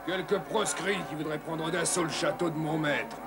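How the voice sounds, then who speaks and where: A dismissive castle henchman acted by a man in a cartoon, fairly high in pitch. He talks down with scorn, over loud music. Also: fairly even intonation, moderate pace, lightly acted. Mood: scornful. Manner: condescending. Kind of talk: dubbed cartoon acting.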